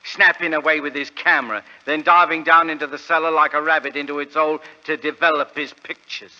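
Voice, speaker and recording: An actor playing a proud, naive middle-aged London pawnbroker, British, plain-spoken and hesitant, in 1940s radio drama style. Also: male voice, on the high side, flat delivery, brisk, pushed and bright. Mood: earnest, rambling. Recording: dull vintage radio recording.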